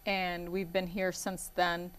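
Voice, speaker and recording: A woman in her forties, born in Iraq and raised in Michigan, answers interview questions in a relaxed, rambling way. Her voice sits a little low, and she fills her pauses with ums and you-knows. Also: smooth. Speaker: guest. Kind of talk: casual interview talk.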